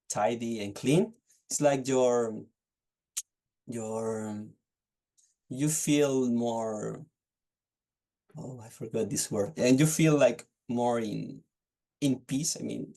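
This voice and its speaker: A man's voice: mid-range voice, slow pace, gravelly, long pauses, warm tone. A young man with a Chilean accent, answering exam questions hesitantly and often restarting phrases as he searches for words, over a video call. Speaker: test candidate. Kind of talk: unscripted speaking-test answers.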